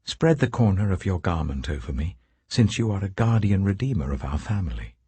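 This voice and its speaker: A young foreign widow as a man gives her voice in a scripture storybook. She is meek and grateful, speaking softly and deferentially, with husky breath and expressive rises and falls in a dry recording. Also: low-pitched, a little fast, dry recording, heavily acted. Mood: obedient.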